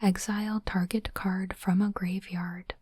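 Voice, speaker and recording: A woman whispering gently and breathily in an ASMR style, in unhurried short phrases, close to the microphone. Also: mid-range voice, flat delivery. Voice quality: soft, breathy unvoiced whisper. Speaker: ASMR host unboxing trading cards. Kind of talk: whispered ASMR, reading cards and commenting. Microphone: close microphone, dry.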